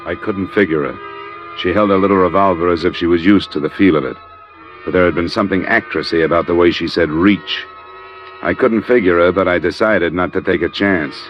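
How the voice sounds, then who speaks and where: Casting: male actor as dogged American private eye, low voice, clipped noir delivery, vintage muffled radio drama. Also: steady tone, fairly quick, natural voice. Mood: dry narration. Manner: terse, hard-boiled. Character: tough, wary male private investigator, hard-boiled and dogged. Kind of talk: 1940s-50s radio noir acting, first-person narration. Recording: dull vintage radio recording, music behind.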